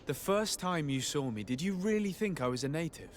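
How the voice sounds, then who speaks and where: Guy playing young noble emissary in a video game, earnest, formal n measured, bit of noise behind. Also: a little high, animated, natural voice. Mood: pensive. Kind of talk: video game cutscene dialogue.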